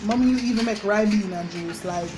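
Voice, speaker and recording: A woman's voice: medium pitch, fairly quick. Casual Jamaican woman vlogging over music. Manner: informal, slipping into Patois. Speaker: vlog host. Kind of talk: casual chat to viewers while demonstrating homemade drinks.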